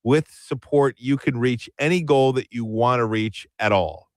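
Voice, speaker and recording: A man's voice: medium pitch, a little slow, no echo, clean studio sound. A man hosting an afternoon radio talk show, chatty and self-deprecating. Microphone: studio microphone.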